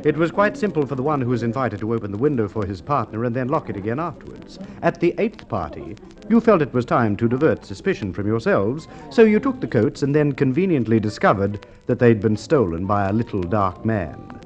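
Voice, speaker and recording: A dull, muffled vintage radio recording of an actor as a male police inspector. He is formal and shrewd, with speech that swings expressively in pitch as he probes deliberately. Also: sing-song, close to an everyday voice. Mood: accusing, assured. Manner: measured, deliberate questioning with hesitations. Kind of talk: Golden Age radio drama acting.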